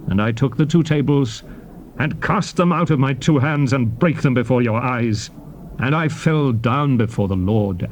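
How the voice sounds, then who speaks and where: Faintly noisy old tape, man acting as Hebrew prophet, medium pitch, solemn, declamatory, measured, theatrical scripture drama, leader exhorting his people. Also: a little mellow, natural voice. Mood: grave, reproachful. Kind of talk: dramatized scripture reading, formal theatrical oratory.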